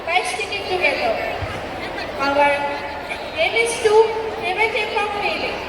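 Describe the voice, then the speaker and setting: A woman acting in an amateur stage play as a scheming, assured teacher speaking in measured declarations. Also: very high-pitched, animated, crowd noise. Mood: confident. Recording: echoey hall, far mic, noisy audience.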